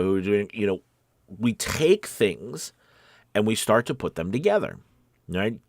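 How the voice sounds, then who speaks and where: A man's voice: fairly low pitch, no echo, clean studio sound. A man giving a casual, conversational lesson as a voice acting coach, encouraging and often checking in with the listener. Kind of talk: casual instructional talk.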